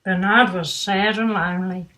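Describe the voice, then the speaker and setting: A woman's voice: medium pitch, steady tone, normal speed, pushed and bright. An older woman reading a children's bedtime story aloud with doting, grandmotherly asides. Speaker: storybook reader.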